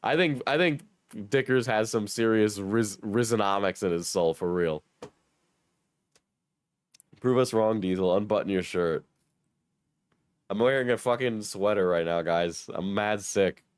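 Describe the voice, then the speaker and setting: A hoarse young man with a cold, joking and swearing casually as he reads his chat on a livestream. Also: lowish voice, a little slow, long pauses, no room sound. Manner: joking, self-mocking, swears. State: hoarse, sick. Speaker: streamer reading chat.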